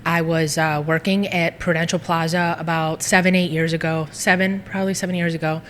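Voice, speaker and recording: A woman in her thirties, a first-generation speaker whose first language was Greek, telling her story with a robust, lively manner in a casual interview. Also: low-pitched, fairly quick, in a large hall, slight background noise. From United States. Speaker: guest, social media business owner.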